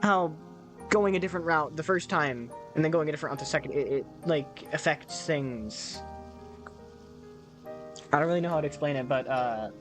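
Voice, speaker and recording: A man giving casual let's play commentary, excitable, swearing and stumbling over his words. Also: fairly high pitch, normal speed, pauses often, over music. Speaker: gamer.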